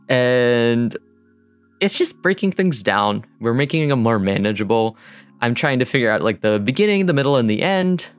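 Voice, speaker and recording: Low, smooth-voiced man rambling casually. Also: a bit bright, no room sound. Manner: informal, rambling, thinking aloud. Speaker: vlogger and indie game developer. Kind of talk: casual rambling voice-over commentary. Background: music under the voice.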